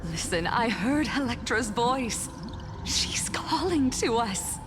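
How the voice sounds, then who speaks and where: An earnest, anxious sea siren from a fantasy game. Her voice is fairly high and unhurried, with a solemn, storylike delivery over music. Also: expressive. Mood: expectant. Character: anxious, reverent female sea siren of a doomed kingdom. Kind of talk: dramatic fantasy game acting.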